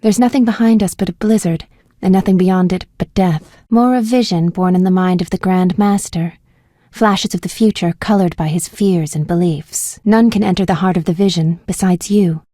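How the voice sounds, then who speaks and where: A woman voicing a caring but feisty young female medic in a video game, speaking earnestly and directly, sometimes with a sharp tongue. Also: fairly low pitch, fairly even intonation, normal speed, no room sound, studio quality, close to an everyday voice. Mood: solemn. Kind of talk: video game character dialogue.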